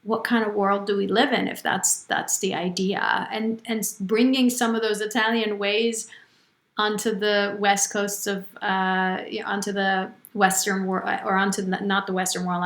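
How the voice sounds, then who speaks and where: A woman's voice: medium pitch, level tone, moderate pace, fairly bright tone. A woman speaking as a podcast guest, answering conversationally in an interview. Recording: roomy, echoing sound.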